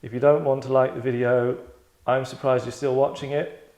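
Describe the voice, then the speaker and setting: A man hosting and directing a table read, chatting casually in a tongue-in-cheek acting critique, deadpan, rambling and self-satisfied. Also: medium pitch, normal speed. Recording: roomy, echoey indoor sound.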